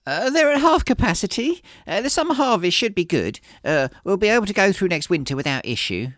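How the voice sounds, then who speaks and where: A woman's voice: medium pitch, very animated, brisk, a bit bright, no echo, studio quality. A woman voicing a flustered, timid middle-aged village man in acted story dialogue, stammering, hesitant and apologetic. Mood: nervous, hesitant.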